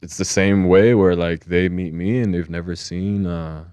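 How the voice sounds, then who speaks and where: A young man from Geneva, Switzerland, talking as a podcast guest in a relaxed, casual way, swearing freely and telling stories with energy. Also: sits low, moderate pace, clean tone, no room sound. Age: young adult. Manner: laid-back, swears casually, animated retelling. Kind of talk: casual conversation.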